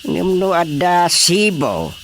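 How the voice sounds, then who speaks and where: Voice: mid-range voice, big pitch swings, takes it slowly, faint music behind. An actor with an Ilocano accent playing an elderly, playful village father in a radio comedy drama, chuckling and drawing out interjections. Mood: amused.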